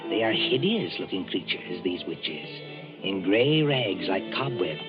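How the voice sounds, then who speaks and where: Vintage radio-play host, measured and foreboding, over loud score, muffled lo-fi sound. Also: male voice, low voice, very animated. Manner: measured, ominous storytelling. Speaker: announcer and narrator. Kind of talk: 1940s radio drama narration. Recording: dull old radio recording with loud music.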